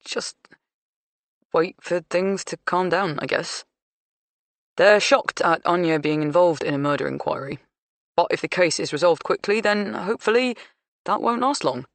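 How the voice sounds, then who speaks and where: A woman's voice: fairly low pitch, normal speed, long pauses, dry recording, lightly acted. A woman voicing a conciliatory young man caught between family members. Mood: subdued. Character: placating young man, harried son and partner.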